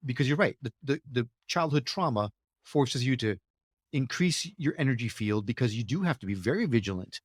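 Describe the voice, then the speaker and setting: A man's voice: mid-range voice, lively intonation, brisk, husky, no room sound, studio quality. Enthusiastic man hosting a podcast. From Connecticut, USA. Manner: enthusiastic, effusive, emphatic. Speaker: podcast host. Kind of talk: podcast interview.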